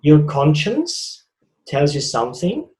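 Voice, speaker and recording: A man's voice: fairly high pitch, wildly swooping pitch, slow, dark tone, reverberant, low-quality recording. A man with a Swiss accent giving a reflective spiritual talk, earnest and repeating phrases for emphasis. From Switzerland. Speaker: spiritual speaker.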